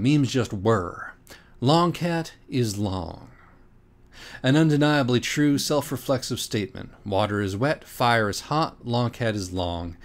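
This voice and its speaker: A man reading a parody audiobook in a dramatic, deliberate, mock-earnest way. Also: lowish voice. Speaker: audiobook reader. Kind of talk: dramatic scripted narration of a parody children's book.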